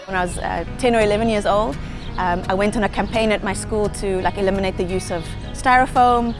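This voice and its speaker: A woman's voice: mid-range voice, fairly quick, a crowd in the background. A woman with a South African accent, speaking earnestly and reflectively in a documentary interview. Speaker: sustainability professional interviewee. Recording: music playing under the voice.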